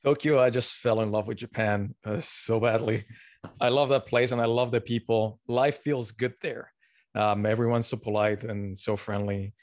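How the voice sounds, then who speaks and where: A man from Colombia, a guest on a podcast, explaining things in a relaxed, friendly way. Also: on the low side, normal speed, no echo. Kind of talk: interview answers.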